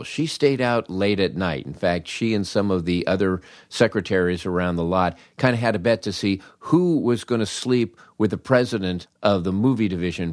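Older american guy w a low voice, wry podcast storyteller, acts out dialogue, real dry sound. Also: dry recording. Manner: wry, animated, acts out dialogue with dramatic pauses. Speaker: podcast host, comedy writer. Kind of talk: humorous first-person storytelling monologue.

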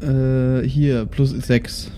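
A man's voice: mid-range voice, flat delivery, very slow, dark tone, a little hiss or hum. A man chatting casually as a player in a tabletop game. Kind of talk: casual chat.

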